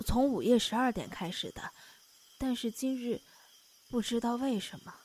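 A woman's voice: on the high side, animated, moderate pace, a little mellow. A woman playing a polite, timid young woman in an audio drama, hesitant and apologetic. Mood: polite, subdued.

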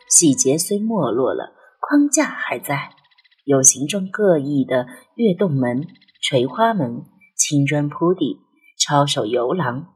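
A woman a breathy voice and reads literary narration in a measured, expressive, dramatic way, as an audiobook narrator. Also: low-pitched. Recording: music under the voice. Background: background music.

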